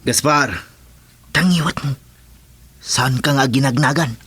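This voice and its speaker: A man's voice: medium pitch, unhurried, a bit bright, husky. A Filipino man playing a brash, impatient sidekick in a radio drama, curt and teasing.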